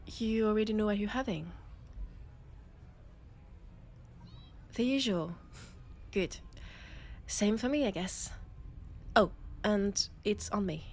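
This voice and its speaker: A woman voicing a young space miner with a French accent in a sci-fi audio roleplay, talking with her best friend. She speaks slowly and hesitantly, often trailing off into pauses. Her pitch sits in an ordinary middle range, and a faint ambient hum runs underneath. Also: lightly acted. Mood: apologetic.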